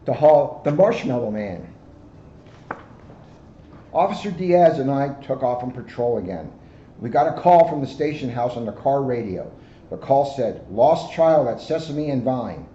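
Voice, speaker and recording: A police officer, a man, reading a storybook aloud to children in an expressive, deliberate way. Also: mid-range voice, dull audio. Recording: roomy, band-limited, faint background noise.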